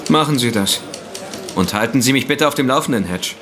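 An actor playing a thoughtful, collegial newspaperman in a German audio drama, with a formal, deliberate manner. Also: medium pitch, animated, crowd noise, lightly acted. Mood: cordial.

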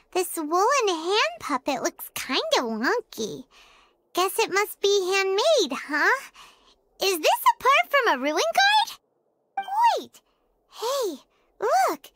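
Squeaky, very high little fairy girl. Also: noisy background, full character voice. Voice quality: squeaky, childlike. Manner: chirpy, exclamatory, refers to self in third person. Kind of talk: video game character acting.

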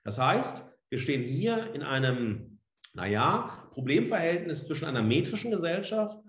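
A man's voice: fairly high pitch, very animated, moderate pace. A man giving an explanatory slide lecture as a professor, speaking emphatically with asides. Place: indoor room, seated on camera. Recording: roomy, echoing indoor sound.

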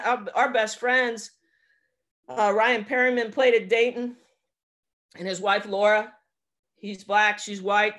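A woman in her fifties tells a personal story on a laptop video call from her home. She talks earnestly and haltingly, restarting her sentences as she goes. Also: mid-range voice, a bit bright. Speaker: basketball coach. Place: home room, indoors. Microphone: laptop webcam on a video call.

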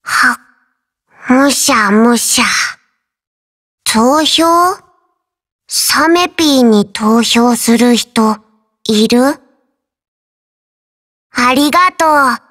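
Game mascot casting: little shark creature, innocent and childlike, high voice, drawling simple phrases. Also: female voice, drawn-out words, pauses often, fairly bright tone, full character voice. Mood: innocent, expectant. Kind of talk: game character voice lines.

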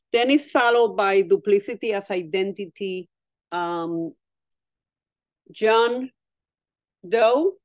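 A woman's voice: medium pitch, darkish tone, no echo. A woman artist, a native Spanish speaker, talking thoughtfully over a video call from home and often restarting her sentences. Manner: thoughtful, with frequent restarts and repeated words. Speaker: artist interviewee. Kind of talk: reflective conversation.

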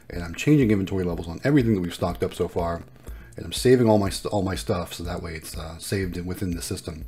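A man's voice: low-pitched, a little mellow, slightly rough. A man narrating a casual vlog in an upbeat, appreciative way, explaining things as he goes. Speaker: vlogger and vending machine operator.

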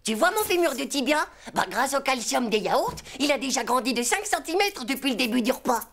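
Gravelly, cocky little cartoon boy. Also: high voice, sing-song, brisk, fairly bright tone, exaggerated voice. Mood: boastful. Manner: boastful, slangy. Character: cocky, excitable little schoolboy desperate to grow up. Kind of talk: French animated series dialogue. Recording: music behind the voice.

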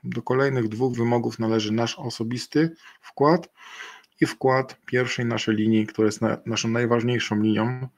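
A middle-aged man walks through slides in a webinar from a room at home, speaking into a webcam. His voice is gravelly, at an ordinary pitch, and he often stops and restarts with hesitant fillers. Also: fairly even intonation. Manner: halting, many fillers and restarts. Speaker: webinar presenter. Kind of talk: slide presentation. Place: indoor room. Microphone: video call webcam.

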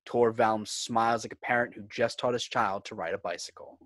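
A man's voice: fairly low pitch, steady tone, brisk, a bit bright, no echo, studio quality. A man working as narrator and host of a fan script reading. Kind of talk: reading screenplay directions, host outro. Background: music.

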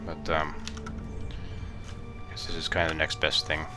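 Very deep, flat-voiced man with a cold. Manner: relaxed, halting, many ums. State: hoarse from a cold. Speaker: let's play gamer. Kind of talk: casual gameplay commentary. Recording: game music playing behind.

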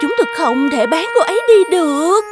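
Cartoon brothel madam: middle-aged, high-voiced, shrewd, obsequious and exaggerated, music behind. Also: female voice, lively intonation, brisk. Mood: shocked, indignant. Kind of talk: animated fairy-tale dub.

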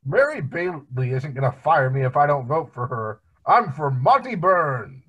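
A man's voice: on the high side, sing-song, measured pace, a bit bright, husky, full character voice. A man voicing a dim, amiable family man, bumbling and rambling, in an amateur cartoon table read. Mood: stubborn. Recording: muffled, lo-fi video call.

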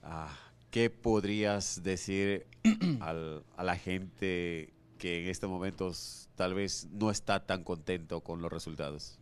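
A man with a very deep voice poses formal, measured questions to a player. His voice is clean and smooth. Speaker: reporter. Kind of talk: press conference questions.

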